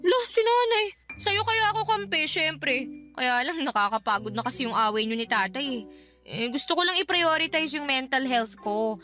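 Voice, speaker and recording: A woman's voice: high voice, expressive, moderate pace, pushed and bright. A woman playing an outspoken, jokey young daughter and loyal best friend in a Filipino radio drama, with slangy, teasing delivery and a Filipino accent. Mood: breezy, frank. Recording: music bed behind the voice.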